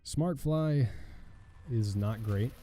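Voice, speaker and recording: Young man, hoarse, very dark mellow tone, let's play commentary, thinking aloud, faint music behind. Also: mid-range voice, extremely slow. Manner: thinking aloud, wry, muttering while reading. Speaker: gaming channel host.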